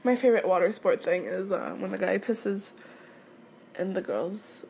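A woman's voice: mid-range voice, sing-song, normal speed, gravelly, dark tone, slight background noise. A young adult woman from Quebec whose first language is French, chatting casually and hesitantly in a candid, giggly, rambling way. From Quebec, Canada. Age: late teens, young adult. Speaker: audio creator answering listener questions.

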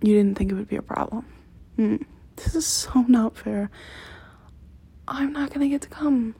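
A woman performing an intimate audio roleplay in a soft, close whisper. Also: on the high side, normal speed, creaky, breathy edge.

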